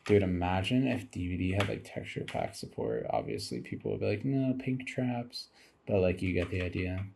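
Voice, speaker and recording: A man's voice: deep voice, expressive, moderate pace, gravelly, mellow, slight background noise. A tired man giving rambling, casual commentary over game footage, musing aloud as he goes and swearing casually.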